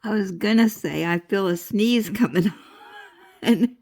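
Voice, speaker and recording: A woman's voice: mid-range voice, a little slow, darkish tone, husky. An older woman with a stuffy nose, chatting casually and rambling in a crafting vlog, laughing often. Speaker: crafting vlogger.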